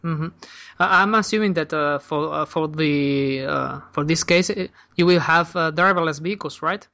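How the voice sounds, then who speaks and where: A podcast host asks questions haltingly, with many fillers. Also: mid-range voice, creaky, pushed and bright, muffled recording. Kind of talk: interview questions.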